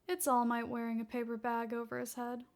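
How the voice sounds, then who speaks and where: A woman's voice: fairly high pitch, monotone, a little fast. A woman narrating a scripted fan fiction story as its reader.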